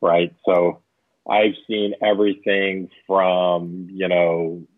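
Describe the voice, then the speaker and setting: A man's voice: sits low, little pitch movement, slow, no echo. A man in his fifties from Southern California, a tech executive answering interview questions, rambling with many fillers. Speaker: guest, tech company executive. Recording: tinny phone-line sound.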